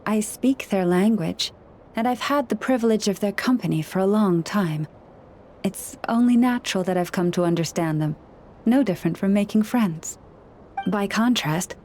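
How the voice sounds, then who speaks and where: Game role: actress as wise, gentle friend of beasts, mid-range voice, unhurried and reflective. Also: female voice, medium pitch, slight background noise, lightly acted. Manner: calm, measured, softly reflective. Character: gentle woman who speaks with animals, wise and serene. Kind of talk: video game voice acting.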